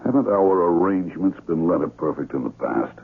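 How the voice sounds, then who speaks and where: An actor playing a cool, businesslike handler of contract killers in a 1970s radio drama, formal and authoritative. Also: male voice, low-pitched, lively intonation, brisk, gravelly, slightly rough, full character voice. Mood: firm, instructing. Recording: muffled, phone-like vintage radio.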